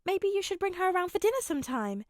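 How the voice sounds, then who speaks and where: Woman acting a doting, high-voiced fretful mother. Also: sing-song, fast, no echo, clean studio sound. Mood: tender, relieved. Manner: gentle, doting. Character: caring, gently fretful mother of a teenage boy.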